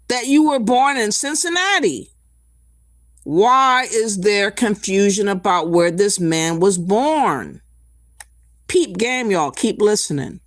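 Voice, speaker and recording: A woman's voice: mid-range voice, dry recording, clean studio sound. A woman hosting a reaction commentary, talking in a blunt, indignant way and stopping to cue up clips. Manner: blunt, indignant, slow deliberate delivery. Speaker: commentary channel host.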